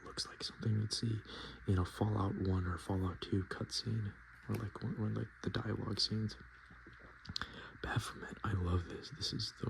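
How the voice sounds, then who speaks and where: A man softly speaking and whispering in a casual, murmuring ASMR style, as host showing items to the viewer. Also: fairly low pitch, vocal fry, low-quality recording. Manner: hushed, casual murmured asides. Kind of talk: ASMR, softspoken and whispered card show-and-tell.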